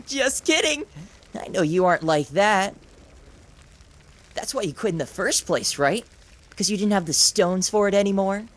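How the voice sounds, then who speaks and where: A woman's voice: medium pitch, expressive, normal speed, long pauses, natural voice. A woman voicing a taunting, sadistic male samurai villain in a visual novel, with theatrical, sneering delivery. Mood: mock playful.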